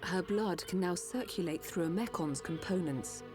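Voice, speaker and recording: An actress playing a calm, precise female Machina doctor explaining a treatment. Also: on the low side, normal speed, close to an everyday voice. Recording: game cutscene with music.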